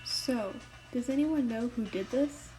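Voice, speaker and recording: A woman playing a patient but firm, sometimes frustrated schoolteacher in a radio play, speaking in a measured, polite way. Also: on the high side, dark tone, soft background music, lightly acted, low-quality recording. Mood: stern. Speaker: actor and narrator in a radio play. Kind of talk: first-person radio drama narration and dialogue.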